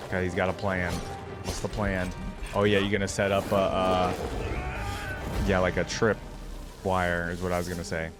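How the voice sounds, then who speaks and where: Low-voiced man reacting over music. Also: monotone, normal speed, long pauses. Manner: informal slangy talk, exclaiming and musing aloud. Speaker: reaction video host. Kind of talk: casual reaction commentary.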